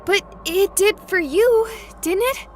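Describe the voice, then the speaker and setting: A woman's voice: very high voice, slow pace, slight background noise. Sarcastic young streamer girl. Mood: skeptical. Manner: sarcastic, flustered. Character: young woman streamer, skeptical and rattled. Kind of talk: visual novel voice acting.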